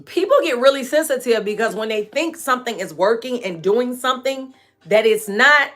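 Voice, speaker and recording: A woman in her forties hosting a live hair care stream, chatting frankly with viewers and laughing often, her voice a bit hoarse and dry. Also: fairly high pitch, lively intonation, normal speed, fairly bright tone, soft background music. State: hoarse and dry voice from staying up late. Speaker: natural hair care host and product maker. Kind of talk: live-stream chat answering viewer comments.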